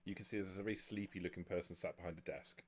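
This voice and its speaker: A man running a tabletop game as game master, improvising narration in a halting way with repeated words. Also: deep voice, fairly even intonation. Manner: halting, frequent stammered word repeats.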